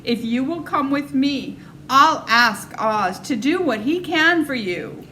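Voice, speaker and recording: A woman's voice: high voice, lively intonation, slow, pushed and bright, slight background noise, heavily acted. A woman playing a polite, kind little girl in a storybook, with a sweet, wondering manner. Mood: sympathetic.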